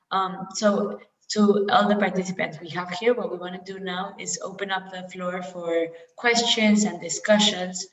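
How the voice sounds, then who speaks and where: A woman moderating an online event, friendly and organized, thanking the speaker and opening questions. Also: on the low side. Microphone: video call. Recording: lo-fi roomy distant video call audio.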